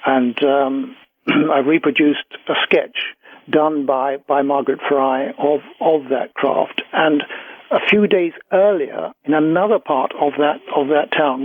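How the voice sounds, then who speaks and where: A British man giving a radio interview as a guest researcher, recounting accounts in a halting, careful way. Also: mid-range voice, husky. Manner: hesitant, halting, with repeats and careful hedging. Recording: thin, tinny phone line on radio.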